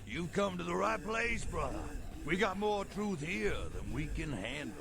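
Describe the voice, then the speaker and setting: An actor playing a fanatical, grandiose vampire bishop who preaches doom in a theatrical, menacing video game villain style. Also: male voice, high-pitched, big pitch swings, a little slow, gravelly, a crowd in the background, heavily acted. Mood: grandiose preaching.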